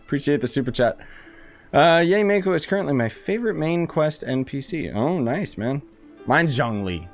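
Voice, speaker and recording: A man's voice: on the high side, animated, moderate pace, faint music behind. A young man giving casual, slangy live commentary as he streams a game. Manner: slangy, reactive, chatty.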